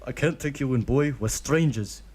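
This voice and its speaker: A man's voice: mid-range voice, normal speed, darkish tone, voice pushed up, natural voice. A man voicing a weary adult ninja who turns a child away firmly. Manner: firm, tired, lightly raised.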